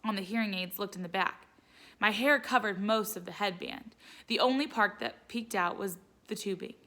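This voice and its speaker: A woman reading a children's story aloud as a teacher would, in an expressive narrating style. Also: medium pitch, normal speed.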